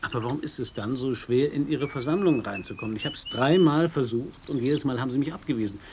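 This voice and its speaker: An actor playing a skeptical, wry American reporter who questions and narrates in a radio play. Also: male voice, mid-range voice, fast, noisy background, lightly acted.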